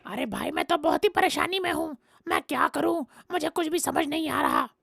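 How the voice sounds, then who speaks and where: A woman voicing an emphatic, fretful crow father with a creaky voice in a children's cartoon. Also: high-pitched, moderate pace, a bit bright, no room sound, studio quality, voice pushed up, heavily acted. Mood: distressed. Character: troubled crow father, fretful, protective of his daughter.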